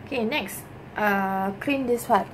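A middle-aged woman with a Singaporean accent giving a casual, improvised how-to, haltingly and repeating herself, with particles like lah and ah. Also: mid-range voice. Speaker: vlogger giving a cleaning demonstration. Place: small bedroom with desk, indoors. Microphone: camera mic at arm's length on desk. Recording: noisy home recording with room ambience.

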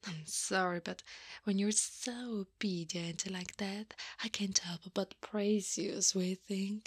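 Woman as a slow, breathy, caring older centaur lady. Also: medium pitch, steady tone, no room sound, studio quality. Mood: teasing, coaxing. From Italy. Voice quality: breathy, often whispering. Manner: slow, soothing, softly teasing. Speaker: ASMR roleplay performer. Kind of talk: ASMR roleplay.

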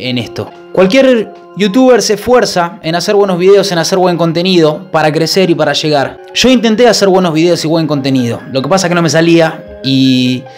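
A young man with a Rioplatense accent, a YouTuber talking in a halting, mock-solemn confession, holding a mic close in a small kitchen. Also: a little high. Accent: Rioplatense Spanish. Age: young adult. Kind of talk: mock-serious confession vlog. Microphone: handheld mic held close.

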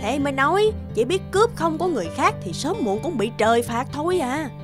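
A woman's voice: high voice, very animated, fairly quick. An actress playing a gossipy, judgmental neighbourhood woman in a cartoon, with music behind her. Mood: disapproving. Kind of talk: cartoon voice acting.

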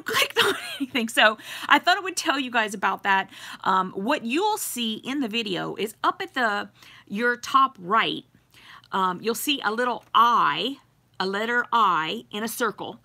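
A woman in her forties chats to her viewers from a home craft room, her voice clear and bright. She rambles along, full of ums and quick giggles, in an easy, unscripted way. The sound comes from a desk webcam. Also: medium pitch. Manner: rambling, chatty, many fillers and giggles. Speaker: YouTube livestream host. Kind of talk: casual live-stream chat with viewers.

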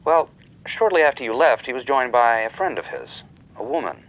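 A man's voice: medium pitch, sing-song, brisk, lightly acted. Man acting a breezy club man, dull phone sound. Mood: breezy, confiding. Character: breezy, chatty American-style club man, slangy. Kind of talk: radio detective drama acting. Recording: dull band-limited telephone sound.

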